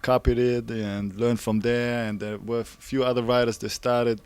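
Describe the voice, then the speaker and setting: A man from Germany, a graffiti artist, answering in an unhurried way while searching for words. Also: mid-range voice, little pitch movement, clean tone, dry recording. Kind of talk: casual interview answers.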